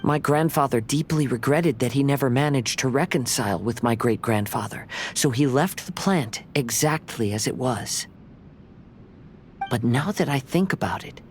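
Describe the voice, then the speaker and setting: A man playing a gentle, thoughtful young swordsman in a video game, speaking in a measured, courteous way. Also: medium pitch, a little hiss or hum, natural voice.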